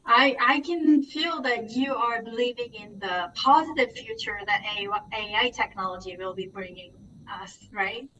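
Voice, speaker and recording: A woman's voice: on the high side, moderate pace, bright, forceful tone, dull audio. A woman hosting an interview over a video call, responding with brief encouraging remarks. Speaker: interview host. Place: indoor room by a window. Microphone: video call laptop mic. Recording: distant video call audio with faint noise.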